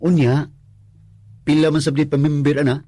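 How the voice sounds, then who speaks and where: A man playing an easygoing, money-strapped working husband in a Filipino radio drama, husky and questioning, with a Filipino accent. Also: fairly high pitch, flat delivery, moderate pace, warm tone, no room sound, natural voice. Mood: wary.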